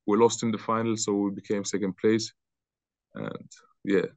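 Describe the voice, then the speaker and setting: A young man from Austria, answering interview questions in loose, halting talk on a video call. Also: fairly low pitch, flat delivery, vocal fry, no room sound. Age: young adult. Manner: loose, halting, repeats words. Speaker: interview guest, football player. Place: bright room indoors. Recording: dull lo-fi video call audio.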